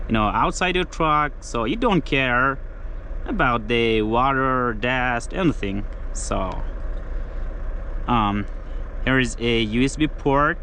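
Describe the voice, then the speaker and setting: A man talking casually and haltingly, thinking aloud as he gives a hands-on product demo. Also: mid-range voice, a bit bright, slight background noise. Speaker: trucker reviewing gear.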